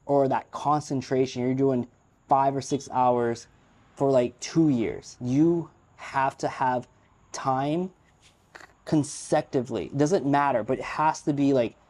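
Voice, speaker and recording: A man's voice: mid-range voice, steady tone, measured pace, darkish tone. A man speaking English as his first language, giving a frank, opinionated, unscripted talk to camera, rambling with fillers. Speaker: vlogger. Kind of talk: unscripted casual monologue.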